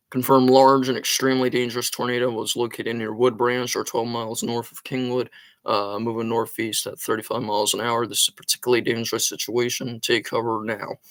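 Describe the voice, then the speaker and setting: A man's voice: medium pitch, fairly bright tone, no echo. A man hosting a live storm-tracking stream with a sore throat, giving urgent take-cover warnings between many ums and uhs. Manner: urgent warnings mixed with frequent fillers. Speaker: storm tracking livestream host. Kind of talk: live weather commentary.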